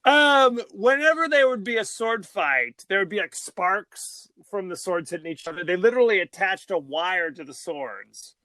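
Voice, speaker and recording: High-voiced joking male podcast host. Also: lively intonation, normal speed, few pauses, sharp tone, dry recording, poor audio. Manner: jokey, laughs often, trails off mid-sentence. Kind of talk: casual chat.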